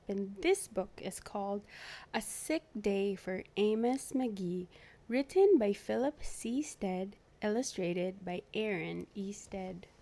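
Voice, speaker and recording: A woman hosting a children's read-aloud, narrating a picture book expressively for young listeners. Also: medium pitch. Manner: clear storytelling with animated asides to young listeners.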